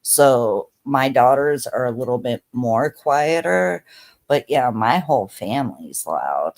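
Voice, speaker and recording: Woman, 30s, easygoing livestream host; slow, rambling casual delivery. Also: very deep voice, expressive, a little mellow. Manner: laid-back, chatty, lots of fillers. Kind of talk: casual chat with viewers.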